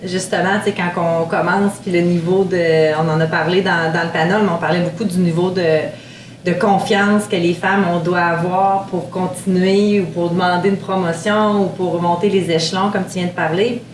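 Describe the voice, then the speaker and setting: A woman with a Quebec French accent hosting a podcast, asking a panel brisk conversational questions. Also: fairly low pitch, steady tone. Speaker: podcast host. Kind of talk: moderating a panel interview. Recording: echoey room with faint noise.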